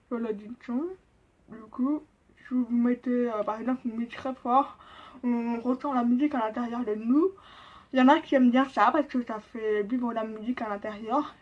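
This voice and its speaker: A young deaf woman with a deaf-speech accent, explaining things in a casual vlog, halting with many pauses and fillers. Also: a little high. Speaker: vlogger. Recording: dull lo-fi sound.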